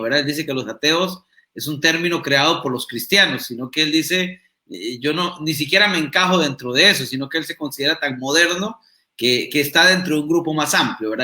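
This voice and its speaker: A man guest on a church talk show, giving a measured answer with hesitant fillers and storytelling. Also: a little high, sharp tone. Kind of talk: thoughtful discussion answer. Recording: echoey room.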